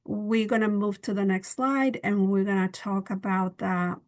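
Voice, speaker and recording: A woman's voice: medium pitch, fairly even intonation, measured pace. An earnest woman trainer walks listeners through a topic on a video call webinar. She explains step by step, with a few small slips in her phrasing. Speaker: bilingual trainer. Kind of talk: webinar presentation.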